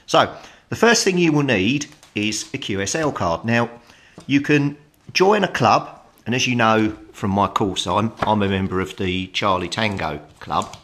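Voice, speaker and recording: A man's voice: fairly low pitch, animated, unhurried, fairly bright tone. A middle-aged British man giving a casual, plain-spoken tutorial as a hobbyist presenter. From United Kingdom.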